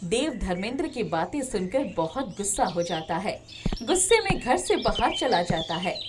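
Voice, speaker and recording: A woman reads a moral tale as its narrator in a scripted storytelling style. The recording has clear noise, with birds chirping behind her. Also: mid-range voice, very animated, normal speed.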